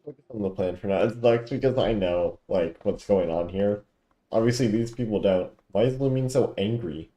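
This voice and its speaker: A man's voice: lowish voice, normal speed, mellow, reverberant. A man giving casual game playthrough commentary, with offhand reactions and dialogue read aloud. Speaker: gaming content creator. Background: game music.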